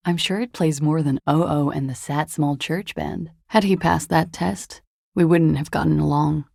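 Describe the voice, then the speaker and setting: An actress playing a flippant, worldly, evasive young woman in a video game, speaking with a languid, deadpan wryness. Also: deep voice, normal speed, creaky, dry recording, clean studio sound. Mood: wistful, detached. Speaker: video game character voice actor. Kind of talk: video game dialogue acting.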